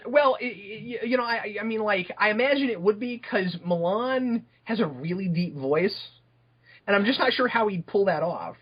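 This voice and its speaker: Podcast guest: young man, husky, bouncy intonation, lo-fi sound. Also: sits high, normal speed. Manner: lively, hesitant, lots of "like" and repeats. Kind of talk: casual chat. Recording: lo-fi audio.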